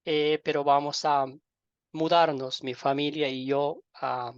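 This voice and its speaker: Over a muffled, dry online call, a middle-aged man with an Ecuadorian accent speaks as a pastor to his class. His delivery is informal and halting, with repeated words and affectionate diminutives. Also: a little high, little pitch movement, clean tone, no room sound. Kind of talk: informal welcome and self-introduction to a class. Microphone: online group call microphone. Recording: dull lo-fi online call audio.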